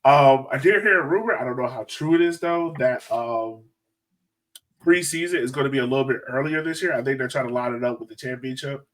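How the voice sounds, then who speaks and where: A man's voice: mid-range voice, fairly quick, a bit bright, recorded from a distance, rough recording. A man hosting a casual sports fan podcast, talking in an informal, slangy, joking way. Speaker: podcast host.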